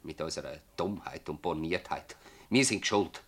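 A man's voice: rumbling low, moderate pace, a bit bright. A man playing a troubled, remorseful, loyal villager in a Swiss German dialect radio play, speaking in an earnest, bitter way.

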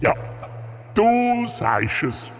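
An actor playing a friendly, mischievous giant in a children's radio play, with a deliberate, comforting manner. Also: male voice, very high voice, very animated, exaggerated voice. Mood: calm, reassuring. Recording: long reverb, music behind the voice.

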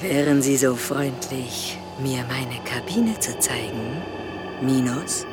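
A woman playing an imposing, rich yacht guest in an audio drama, coolly gracious and predatory, with drawn-out phrasing. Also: rumbling low, over loud music. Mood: silky, commanding.

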